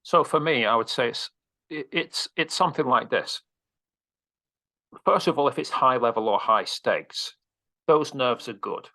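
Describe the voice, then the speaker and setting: A man's voice: medium pitch, a little slow, pauses often, pushed and bright. A man coaching on presentations, answering interview questions in a direct, casual way with casual swearing.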